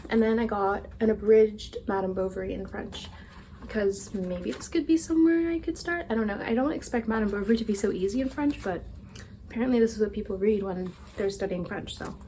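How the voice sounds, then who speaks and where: A woman's voice: mid-range voice, fairly quick, noisy background. A young woman chatting casually and rambling a little, self-deprecating, as a book vlogger. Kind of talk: casual chat.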